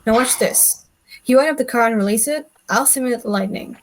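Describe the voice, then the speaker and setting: A woman's voice: on the high side, a little fast, slight background noise, natural voice. A woman voicing an eccentric, earnest elderly male inventor in a script table read, explaining things in a declamatory way. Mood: explaining eagerly.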